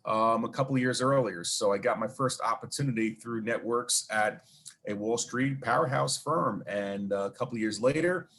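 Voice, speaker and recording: A man's voice: medium pitch, normal speed, fairly bright tone. Chatty Brooklyn man telling stories. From New York. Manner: rambling, many fillers and asides. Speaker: guest. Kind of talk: casual storytelling interview.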